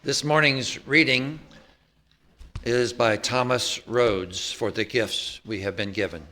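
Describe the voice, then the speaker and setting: A man reading a prepared text at a church service, with measured, emphatic phrasing. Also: medium pitch, fairly even intonation, pushed and bright. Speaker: service reader.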